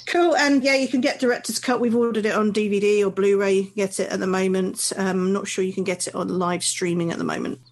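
A woman's voice: mid-range voice, fairly even intonation, fast, few pauses. A woman co-hosting a casual film review chat, chatty, with frequent restarts and easy laughter. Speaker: film review podcast co-host.

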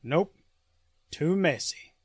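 A man's voice: fairly high pitch, expressive, takes it slowly, husky, no room sound, clean studio sound, full character voice. A man acting a coldly practical country killer in a 1940s-style radio drama, folksy, terse and threatening. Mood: cold, menacing. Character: menacing, coldly practical rural male killer.

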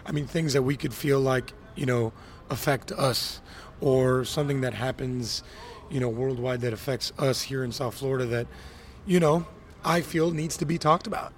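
Animated man, ranting podcast host. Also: medium pitch, normal speed, slight background noise. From Miami. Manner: animated storyteller, ranting, acts out quotes. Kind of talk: casual podcast chat.

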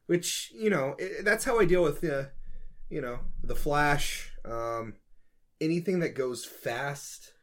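A man's voice: a little high, lively intonation, slow, fairly bright tone. Joking man in casual podcast chat. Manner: joking, riffing. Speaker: podcast co-host.

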